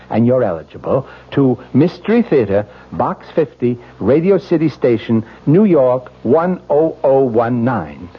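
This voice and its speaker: A man with an American accent giving a scripted promotional address as a radio producer, in a husky voice. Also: mid-range voice. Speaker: radio producer making announcements. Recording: dull 1970s radio broadcast.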